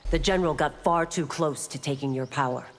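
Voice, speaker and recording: An actress playing a stern, resolute law officer and warrior in a video game, with a firm, formal manner. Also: female voice, low voice, fairly quick. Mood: formal, dutiful. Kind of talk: video game heroine acting. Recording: reverberant arena with clear background noise.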